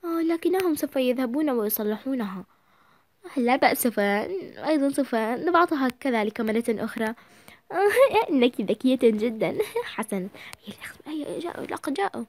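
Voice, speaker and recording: A woman's voice: sits high, big pitch swings, moderate pace, a little mellow. A woman voicing spoiled, scheming rich little girls who giggle and whisper plots to each other. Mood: giggly.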